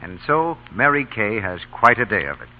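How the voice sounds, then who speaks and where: A man's voice: lowish voice, very animated, normal speed. A man announcing and reading a commercial in scripted old-time radio style. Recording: tinny old radio broadcast recording.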